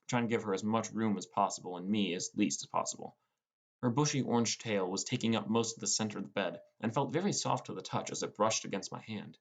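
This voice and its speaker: A man's voice: on the low side, creaky. A man reading scripted first-person fantasy fiction as an audiobook narrator.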